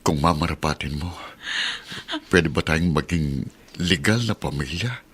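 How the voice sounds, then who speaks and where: A man's voice: extremely low pitch, a little slow, fairly bright tone, slightly rough, full character voice. A man playing an earnest, remorseful middle-aged father and devoted suitor in a heartfelt Tagalog radio drama. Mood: tender, pleading.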